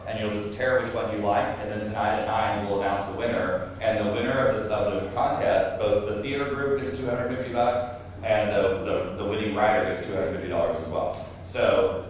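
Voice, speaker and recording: A man's voice: lowish voice, fairly even intonation, brisk. A man informally introducing an event to a live audience as its host. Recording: distant, very echoey room, faint noise.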